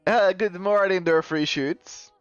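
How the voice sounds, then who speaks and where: A man's voice: sits high, measured pace, clean tone, no echo. A man chatting with his live-stream viewers in a slightly raised voice, rambling along and tripping over his own corrections with a laugh. The sound is a bit dull and lo-fi, with faint music running underneath and a dry, close feel. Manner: rambling, self-correcting, chuckling.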